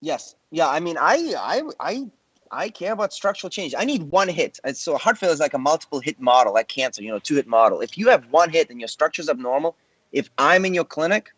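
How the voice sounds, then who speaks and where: A man's voice: fairly high pitch, very animated, fairly quick, fairly bright tone, husky, no echo. Confident doctor guy chatting on a panel, dry muffled call audio. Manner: confident, conversational, joking asides. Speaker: cardiologist panelist. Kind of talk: panel discussion.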